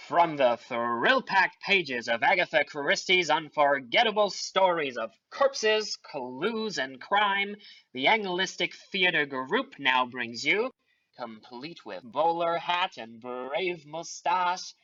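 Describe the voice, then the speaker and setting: A theatrical old-time radio announcer with a bright, forceful voice. The delivery is very slow and lively in pitch, on a slightly dull recording.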